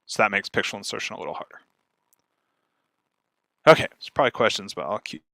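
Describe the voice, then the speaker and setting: Guy explaining stuff in a casual how-to talk, bright forceful voice, pretty dry close sound. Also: lowish voice, lively intonation, a little fast, vocal fry, no echo. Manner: explanatory, checks in with "right?". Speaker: speaker, podcast marketer giving a talk. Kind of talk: informal instructional presentation.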